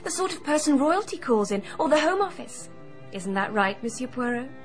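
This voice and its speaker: A woman's voice: sits high, very animated, moderate pace, faint music behind. An actress playing an admiring, chatty woman in a radio drama, eager and respectful. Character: admiring, chatty woman defending a famous detective.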